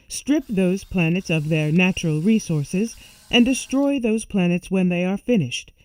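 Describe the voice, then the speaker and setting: A woman playing a calm alien machine lifeform, speaking formally and precisely in a sci-fi audio drama. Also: mid-range voice, no echo, natural voice, low-quality recording.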